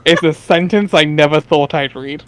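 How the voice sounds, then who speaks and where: Wry, higher-pitched man bantering. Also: moderate pace, a crowd in the background. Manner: wry, jokey, sweary. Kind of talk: casual banter during a group reading.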